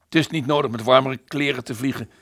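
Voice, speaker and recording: A man's voice: mid-range voice, quick pace, gravelly, a bit bright, no room sound, clean studio sound. A man playing a young, easygoing fighter pilot talking to his comrade in a radio play. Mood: breezy.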